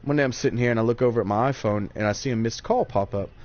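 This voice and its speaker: A man's voice: medium pitch, racing, a little mellow. Profane rambling man on lo-fi mic. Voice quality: swears freely, rambling storyteller. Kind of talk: casual chat, profane storytelling. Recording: dull lo-fi sound with faint noise.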